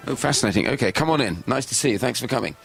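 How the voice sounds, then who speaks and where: A man hosting on radio, briskly greeting and questioning studio guests with formulaic politeness. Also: medium pitch, lively intonation, vocal fry, a bit bright, faint music behind. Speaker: radio host.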